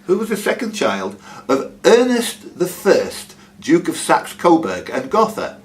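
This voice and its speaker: A man's voice: on the high side, huge pitch swings, unhurried, a little hiss or hum. A man hosting a quiz, reading questions aloud and teasing the players with jokey casual banter.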